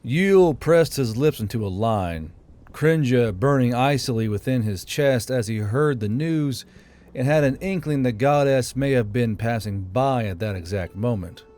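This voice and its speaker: A man's voice: medium pitch, a little slow, a little mellow. A man narrating a fantasy audiobook in a scripted storytelling style. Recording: music behind the voice.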